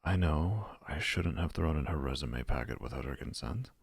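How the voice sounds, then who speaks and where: A gentle younger brother with a poet's soul in an audio drama. His voice is extremely deep and lively in its movement, with a crackling gravel to it. He speaks hesitantly and tenderly, choosing poetic words. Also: normal speed, exaggerated voice. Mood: flustered. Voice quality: gravelly, husky. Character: gentle lovestruck poet, younger brother, patient and evasive.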